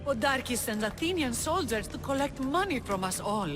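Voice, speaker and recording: An actress playing a proud, weary rebel leader of ancient Greece in a video game, speaking in a wary, commanding, theatrical way. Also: female voice, sits high, expressive, moderate pace. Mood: bitter. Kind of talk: dramatic video game cutscene acting. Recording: reverberant hall, music behind.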